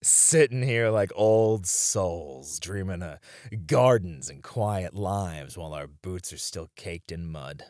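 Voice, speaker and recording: Guy playing a tired soft spoken knight, deep voice, super slow, tender n wistful, asmr romance roleplay. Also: lively intonation, dry recording, clean studio sound. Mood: tender, musing. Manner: soft, intimate, hesitant with small chuckles. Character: battle-weary male knight, tender, wistful, quietly devoted comrade.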